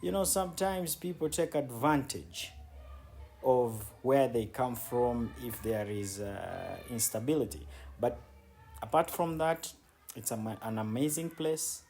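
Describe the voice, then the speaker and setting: A man's voice: mid-range voice, a little slow, gravelly, a little mellow. A man giving casual, conversational travel commentary as he guides viewers around, often repeating words for emphasis. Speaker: travel vlogger and city guide. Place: outdoors on city streets. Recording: background noise, outdoor street ambience.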